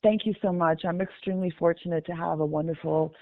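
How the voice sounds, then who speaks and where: A woman in her fifties, originally from Canada, answers interview questions in a gracious, measured way. Her voice comes through a narrow, phone-like line with no room sound at all. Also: on the low side, fairly even intonation, no room sound. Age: 50s. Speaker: DJ guest. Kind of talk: interview conversation. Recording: dry, tinny phone-like line.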